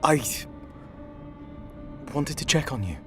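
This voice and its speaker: An earnest, stubborn male companion in an audio drama. He is insistent, with lively pitch movement and music clearly behind him. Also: slow. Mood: earnest, defensive.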